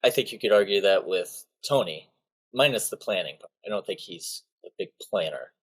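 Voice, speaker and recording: A man's voice: sits low, lively intonation, moderate pace, breathy edge. A man co-hosting a podcast, chatting in an easygoing way with frequent hesitations. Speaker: podcast co-host. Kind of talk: casual chat.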